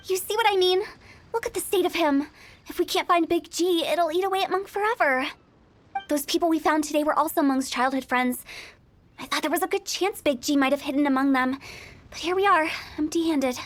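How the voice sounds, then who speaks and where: A woman's voice: high voice, a little fast, lightly acted. An actress playing a playful, shrewd young woman who runs a funeral parlor in a game, confident and teasing. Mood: matter-of-fact. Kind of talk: game voice acting.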